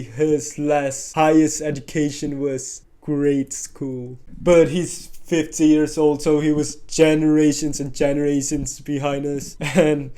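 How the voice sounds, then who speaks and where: Brief: young man, slightly high voice, mellow tone, candid and sarcastic vlog talk. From Indonesia. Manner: candid, sarcastic, exclaiming asides. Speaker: vlogger. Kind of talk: casual vlog commentary over on-screen data.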